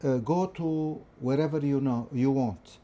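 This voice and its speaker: A middle-aged man from Lebanon with a Lebanese accent, a professor recalling his family history and studies in an interview, speaking in a measured, hesitant way with many fillers and restarts. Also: medium pitch, warm tone, lo-fi sound. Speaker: guest, political science professor. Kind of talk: interview, personal recollection.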